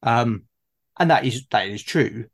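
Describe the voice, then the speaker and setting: A man with a medium-pitched voice speaks off the cuff in a conversation. He is hesitant, often repeating words while he works toward his point. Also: expressive, measured pace, vocal fry. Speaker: game master in discussion. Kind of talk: unscripted gaming discussion.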